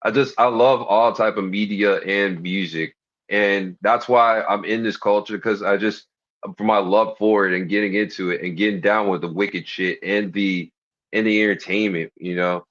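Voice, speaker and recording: A man with a deep voice, talking as a guest in a casual interview, enthusiastic, slangy and profane. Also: steady tone, moderate pace, a bit bright. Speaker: guest, body piercer. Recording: dull lo-fi stream audio.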